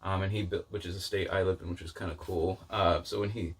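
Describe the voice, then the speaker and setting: A man's voice: low voice, steady tone, fast, husky. A man chatting casually as a book vlogger, rambling off on tangents and peppering his talk with "like" and "you know.". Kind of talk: casual rambling chat to camera.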